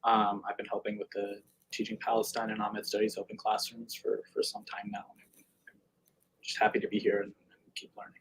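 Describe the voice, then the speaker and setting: A man, a graduate student, introducing himself briefly and softly, trailing off at the ends of sentences. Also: fairly low pitch, completely flat delivery, fairly quick, slight background noise, low-quality recording. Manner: soft-spoken, trailing off.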